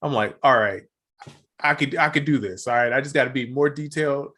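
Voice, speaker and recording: A hoarse man co-hosting a podcast, chatting casually with easygoing slang. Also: mid-range voice, brisk, pushed and bright. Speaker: podcast co-host. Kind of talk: casual chat.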